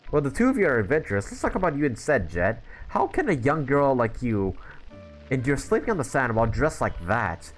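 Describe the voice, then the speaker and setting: A man's voice: mid-range voice, sing-song, brisk, soft background music, lightly acted, rough recording. A man voicing a pampered, grumbling researcher in a video game, fussy and easily flustered. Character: pampered, grumbling male scholar, fussy and nervous.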